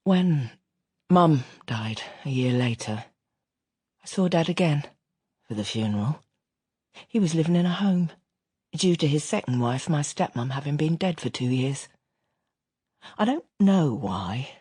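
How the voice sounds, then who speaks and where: A man's voice: on the high side, animated, unhurried, pauses often, a little mellow, slightly rough, no room sound, clean studio sound. An actor playing a reflective grown son, confiding wistful memories of an absent father in an intimate radio drama monologue. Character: reflective adult son recounting his estranged father.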